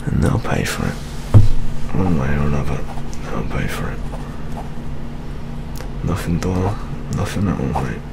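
A young man playing a jaded, world-weary British police detective in an ASMR roleplay, speaking in a hushed, deliberate manner. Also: extremely low pitch, vocal fry, exaggerated voice. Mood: grim, resolved. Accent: British English. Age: 20s. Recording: steady rain ambience noise. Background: rain sounds.

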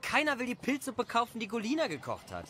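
A man playing a hot-headed, grumbling village husband in an animated audio drama, blunt and sputtering with indignation. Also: very high voice, fast, full character voice. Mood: indignant.

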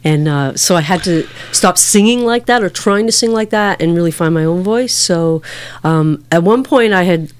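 A woman's voice: on the low side, moderate pace, clear, resonant tone. A middle-aged woman from Toronto, a singer-songwriter guest chatting in a small studio. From Toronto, Canada. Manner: loud, lively storyteller. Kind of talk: casual chat. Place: small wood-panelled studio. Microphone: studio mic on stand.

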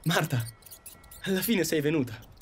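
A man playing an awkward, earnest young neighbour in love, halting in his speech, in an audio drama. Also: on the high side, animated, soft background music. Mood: tender. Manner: stammering, halting.

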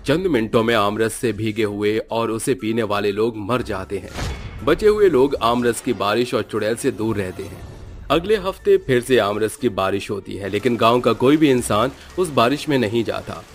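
A man's voice: on the low side, expressive, normal speed. A man giving scripted, dramatic narration as the storyteller of a tale.

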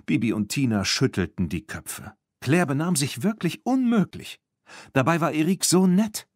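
Man reading a kids audiobook, super lively intonation, dry clean sound, expressive storytelling. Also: fairly high pitch, moderate pace, no echo. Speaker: audiobook narrator. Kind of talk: expressive scripted children's story narration.

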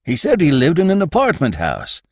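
A prying, gossipy old landlord, put on by a man reading a story, talking in a rustic drawl. His voice sits high and leaps around in pitch. The sound is close and dry. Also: wildly swooping pitch, normal speed, no echo, full character voice. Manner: folksy rustic dialect, prying. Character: suspicious old male boarding-house keeper, nosy, gossipy.

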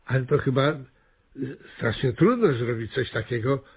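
A blustering small-town mayor in a radio comedy. He is none too bright, stammers and repeats himself. The voice is a little husky and the recording dull and lo-fi. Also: medium pitch, lively intonation, moderate pace. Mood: baffled, hesitant. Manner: hesitant, stammering, repeating words. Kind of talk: Polish radio play comedy acting. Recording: slightly muffled, lo-fi radio recording.

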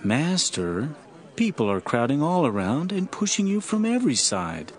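A man playing an excitable, awestruck disciple in a Bible audio drama, speaking with urgent astonishment. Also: fairly high pitch, moderate pace.

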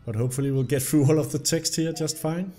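A young man, slightly hoarse from illness, commenting on a game and reading its story text aloud. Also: a little high, quick pace, faint music behind. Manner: reads the story text aloud in an even narrating tone. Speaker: gameplay video host. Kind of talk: casual gameplay commentary.

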